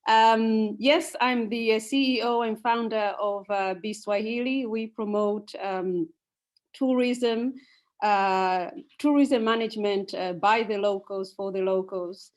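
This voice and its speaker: A young woman from Tanzania speaking to an audience and then telling a folk story, unhurried, with many ums and uhs. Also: medium pitch, fairly even intonation. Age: young adult. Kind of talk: storytelling talk.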